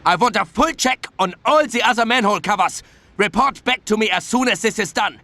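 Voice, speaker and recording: An actor playing an overbearing German prison camp commandant in a video game, imperious and scolding, with a German accent. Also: male voice, high-pitched, fairly quick. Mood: irate. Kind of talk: video game cutscene acting. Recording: loud music under the voice.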